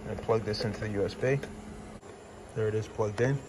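A man's voice: on the low side, fast, background noise. A man in his forties, speaking casually and matter-of-factly from a garage workshop into a phone camera, with frequent ums. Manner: plain-spoken, matter-of-fact, with frequent ums and uhs. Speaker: motorcycle audio shop owner. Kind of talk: casual product demo and review. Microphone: phone camera at arm's length.

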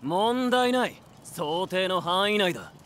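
An actor playing an arrogant, commanding young strategist in an anime voice drama. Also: male voice, high voice, big pitch swings, slow, a bit bright, a little hiss or hum, exaggerated voice. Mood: haughty scheming. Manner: haughty, commanding. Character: arrogant, ruthless young warlord strategist commanding his squad.

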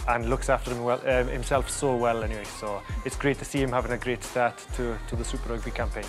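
A man's voice: medium pitch, quick pace, over music. Easygoing man with a faded Welsh accent. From Wales. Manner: relaxed, easygoing, modest. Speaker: guest, rugby performance coach. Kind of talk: studio interview, casual. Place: TV studio.

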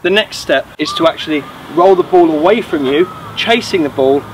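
A man's voice: a little high, normal speed, poor audio. A man in his thirties giving an encouraging, emphatic how-to tutorial as a coach. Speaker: host and acrobatics coach. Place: grassy field outdoors. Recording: outdoor noise, traffic ambience.

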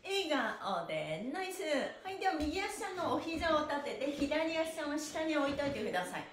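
A middle-aged woman leading a stretching class, cheerful and encouraging, with energetic sing-song calls and rhythmic chants. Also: high voice, normal speed, slight background noise. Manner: energetic, moving while talking. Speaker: stretching instructor and pantomime performer. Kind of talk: live exercise class with rhythmic counted chants. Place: small indoor room, seated on a mat. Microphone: camera a few meters away. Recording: roomy echo, distant microphone.

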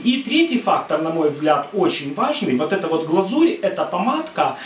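A middle-aged man hosts a home cooking video and explains at a relaxed pace, with a fairly high voice and frequent "uh" fillers. He stands back from the camera, so his voice sounds distant and picks up echo from the kitchen. A faint hum of room noise sits underneath. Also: normal speed. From Ukraine. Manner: rambling, many "uh" fillers, friendly and explanatory. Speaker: cooking channel host. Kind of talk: casual cooking talk to camera. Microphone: far camera.

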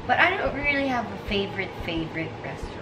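A woman's voice: mid-range voice, very animated, quick pace. A young woman in casual, playful chat with her husband on a living room couch, reading out tag questions and laughing often. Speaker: vlogger asking tag questions. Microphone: far camera. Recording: roomy, distant, with noise.

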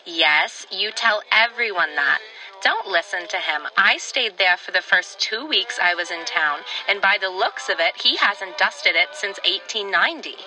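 Woman playing a sharp, sassy diner waitress. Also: medium pitch, lively intonation, a little slow, faint music behind, low-quality recording. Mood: scolding, brisk. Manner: pointed, flirtatious needling. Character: sassy, teasing small-town diner waitress, old flame. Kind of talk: old-time radio drama acting.

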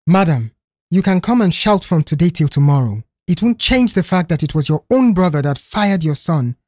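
A woman voicing a calm middle-aged mother in an audio story, dignified, composed and firm. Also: on the low side, moderate pace, no room sound, studio quality.

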